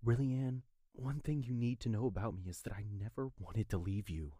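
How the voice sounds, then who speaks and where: Woman playing husky, deep-voiced, pleading young male ex-lover. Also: fairly even intonation, brisk, warm tone, no room sound, clean studio sound. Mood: grave, earnest. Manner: intense, urgent, pleading. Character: earnest, wounded young man, a pleading jilted former lover. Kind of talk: dramatic audio drama acting.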